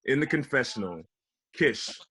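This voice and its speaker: A man reads scripted stage directions as narrator. His voice sits at an average male pitch, in a table-read delivery. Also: lively intonation, moderate pace, sharp tone, husky.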